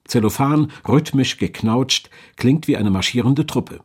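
Slightly husky male documentary narrator. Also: medium pitch, unhurried, darkish tone. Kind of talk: scripted narration.

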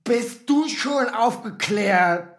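An actor playing a drunken, lewd, addled refugee in a radio play, babbling in a slurred, drawn-out way. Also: mid-range voice, expressive. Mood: drunken leering. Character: drunken, lewd, brain-damaged refugee, leering.

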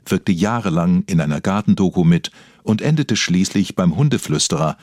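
A man narrating a comedy mock documentary from a script in an earnest, deadpan manner. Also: sits low, moderate pace, dry recording, studio quality. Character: earnest male documentary narrator telling absurd stories.

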